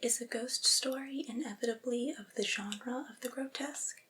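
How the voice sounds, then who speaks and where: A woman reading aloud in an ASMR style in a breathy, unvoiced whisper, phrasing each clause deliberately and carefully. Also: on the high side, fairly even intonation, bright, forceful tone, slight background noise. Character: devoted servile female vampire's familiar soothing master.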